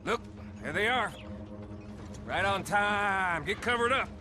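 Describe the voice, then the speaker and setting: An actor playing a cocky, reckless outlaw in a video game, with a smug, taunting, chuckling manner. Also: male voice, high-pitched, expressive, slow, music in the background. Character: cocky, needling male outlaw, reckless troublemaker. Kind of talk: video game cutscene acting. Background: loud game score.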